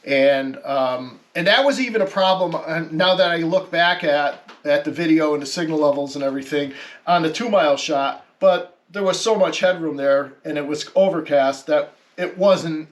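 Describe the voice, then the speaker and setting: A man's voice: fairly high pitch, normal speed. A man narrating an informal explanatory vlog as a radio hobbyist, thinking aloud in a hesitant, conversational way. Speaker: amateur radio hobbyist presenter.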